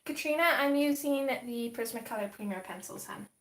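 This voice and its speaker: Livestream host, woman, slightly high voice, chatty, getting over a cold, roomy echo. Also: brisk. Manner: chatty, greets viewers by name, thinks aloud. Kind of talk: casual livestream chat while coloring.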